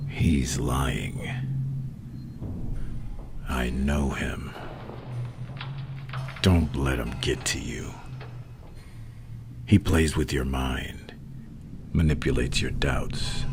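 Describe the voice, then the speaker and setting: A man playing a grim, commanding masked vigilante in an ASMR roleplay, stern and terse, with a hushed, gravelly growl. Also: a bass voice, very slow, long pauses, music in the background, heavily acted. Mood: stern, urgent.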